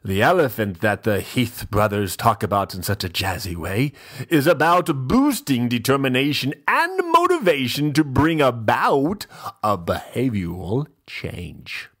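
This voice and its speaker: Pompous, slow, theatrical gentleman host. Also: mid-range voice, very animated. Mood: earnest, grandiose. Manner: pompous, theatrical, drawn-out delivery with dramatic pauses. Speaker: host of dramatic book readings. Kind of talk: mock-literary dramatic reading.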